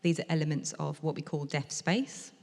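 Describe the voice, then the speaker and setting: A low-pitched woman interprets a lecture into speech, measured and explanatory, pausing on the odd filler. Her voice carries with a long reverb from a lecture hall at a museum event. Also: steady tone, gravelly, darkish tone. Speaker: sign language interpreter voicing a deaf speaker. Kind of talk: interpreting a design talk aloud.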